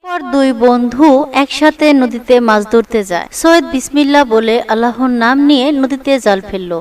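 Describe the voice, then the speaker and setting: A woman's voice: high-pitched, clean tone, recorded from a distance. A woman narrating a scripted children's story as a storyteller.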